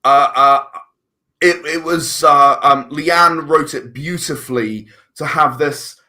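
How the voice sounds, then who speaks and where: Guy on a podcast, bright voice, kinda rambles and repeats himself looking for words. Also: on the high side. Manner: halting, rambling, repeats words as he searches for phrasing. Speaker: interview guest, filmmaker and audio drama writer. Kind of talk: casual podcast conversation.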